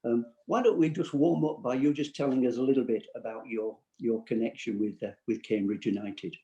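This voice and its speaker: A man's voice: medium pitch, fairly even intonation, a little fast, dark tone, dull audio. A man hosting an interview, introducing his guest and asking questions with frequent hesitations. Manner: hesitant, many fillers, friendly. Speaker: host. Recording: echoey room.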